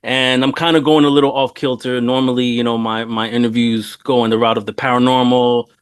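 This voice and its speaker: Man in his late forties, podcast host, informal, slangy, mid-pitched voice, casual sports talk. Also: little pitch movement, fairly quick, clear, resonant tone. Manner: informal, laid-back, slangy, calls guest "man" and "bro". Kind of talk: casual sports interview chat.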